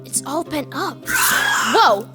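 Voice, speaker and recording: A high, youthful small-town kid, acted by a woman, plain-spoken and earnest. The delivery is animated and folksy, like a country storyteller in an audio horror drama, with music underneath. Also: slow pace, heavily acted. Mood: excited.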